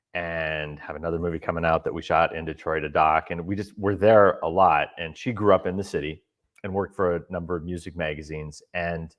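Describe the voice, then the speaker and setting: A man, a documentary filmmaker, answering interview questions in a relaxed storytelling way, his throat a little scratchy. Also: low-pitched. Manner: relaxed storytelling, some fillers.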